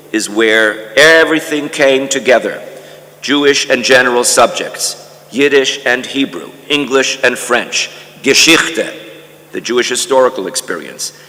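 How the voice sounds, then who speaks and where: Older man, bright forceful voice, from Canada, scripted memoir lecture, deliberate rhetorical delivery, long hall reverb. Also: medium pitch. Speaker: guest lecturer reading a memoir. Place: large indoor conference hall.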